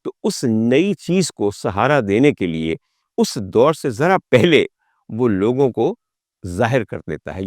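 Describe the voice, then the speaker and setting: A man hosting a talk, telling stories dramatically and rhetorically, with deliberate build-ups and repeated phrases. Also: mid-range voice, darkish tone, no echo, clean studio sound. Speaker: host and storyteller of a music history talk. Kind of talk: dramatic spoken storytelling to the audience.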